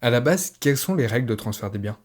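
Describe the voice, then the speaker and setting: A man's voice: medium pitch, expressive, fast talker, slight background noise. A man acting as interviewer, putting formally worded, scripted questions.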